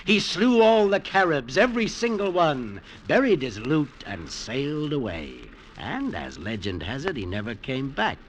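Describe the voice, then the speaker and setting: An actor playing a sardonic, teasing painter on a resort island, dry and needling in a vintage radio drama style. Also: male voice, mid-range voice, sing-song, normal speed, few pauses, natural voice. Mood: dramatic, urging. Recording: old radio recording with faint noise.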